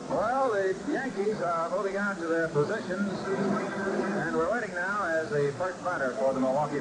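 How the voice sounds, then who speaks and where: An American man calling baseball in formal, measured 1950s radio commentary style, with florid phrasing. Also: fairly high pitch. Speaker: baseball radio play-by-play announcer. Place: baseball stadium broadcast. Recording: noisy vintage 1950s radio broadcast. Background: loud noise and ambience.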